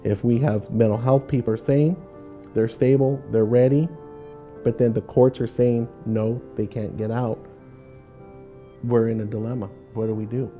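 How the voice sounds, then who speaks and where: A man making points in a measured, rhetorical way in a documentary interview. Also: medium pitch, fairly even intonation, narrow, tinny audio, faint music behind.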